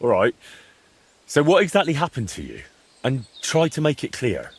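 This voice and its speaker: Blunt, husky young medieval adventurer. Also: male voice, medium pitch, wildly swooping pitch, moderate pace, heavily acted. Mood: probing. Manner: blunt, questioning. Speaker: video game protagonist. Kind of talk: game cutscene dialogue.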